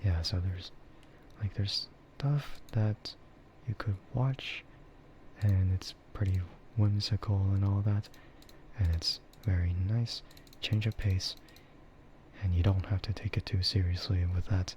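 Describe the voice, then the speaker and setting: A man chatting casually in a soft, half-whispered ASMR style, rambling and hesitant as he streams close to the microphone. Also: low voice, steady tone, measured pace, slight background noise. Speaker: VTuber streamer.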